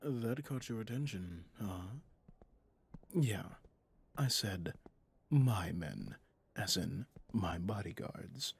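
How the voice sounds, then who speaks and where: A man acting a husky, low-voiced mafia boss. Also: a little mellow, full character voice. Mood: coolly threatening. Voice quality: low, husky, often dropping to a whisper. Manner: slow, teasing, intimate, with pet names. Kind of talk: ASMR roleplay acting. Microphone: close studio microphone, dry.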